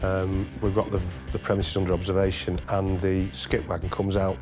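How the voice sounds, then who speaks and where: Male police interviewee, low voice with little inflection, matter-of-fact unscripted recollection. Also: monotone, moderate pace, music in the background.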